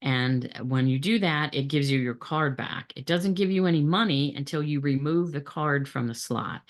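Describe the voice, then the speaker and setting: A woman's voice: deep voice, moderate pace. A middle-aged woman gives a training presentation on supply chain methods, speaking plainly with frequent fillers and restarts.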